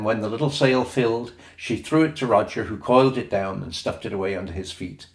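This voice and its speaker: Audiobook narrator, male, lower register, scripted storytelling read, noticeably roomy recording. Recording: roomy echoing sound.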